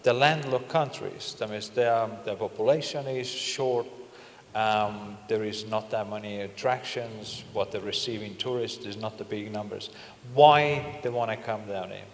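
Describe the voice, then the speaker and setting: A middle-aged man moderating a panel, asking questions in a halting way with non-native phrasing. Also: lowish voice, slight background noise. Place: large indoor conference stage. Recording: echoey, reverberant conference hall.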